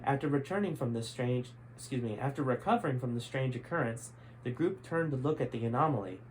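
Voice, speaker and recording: A man with a mid-pitched voice narrates an adventure story like an audiobook host. His delivery is enthusiastic and dramatic, and there is faint ambient noise behind him. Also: animated, brisk. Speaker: host reading a chapter of their own book. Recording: clearly noisy background ambience.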